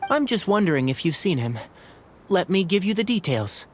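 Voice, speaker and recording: A polite young traveler asks careful questions and stays wary. His voice moves lively up and down at a middle pitch. A faint hiss sits under it. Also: normal speed. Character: polite young traveler asking after a missing man. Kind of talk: video game cutscene voice acting.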